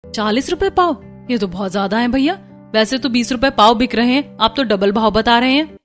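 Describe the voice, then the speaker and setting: A woman's voice: a little high, lively intonation, normal speed, faint music behind, natural voice. A woman playing a patient, polite young daughter-in-law in a cartoon family drama. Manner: earnest, polite.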